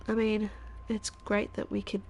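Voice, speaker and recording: A woman in her thirties, a stuffy-nosed streamer chatting casually while she plays, thinking aloud and now and then dropping to a quiet whisper. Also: mid-range voice, completely flat delivery, unhurried. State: congested, stuffy nose. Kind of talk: casual live-stream chat while gaming.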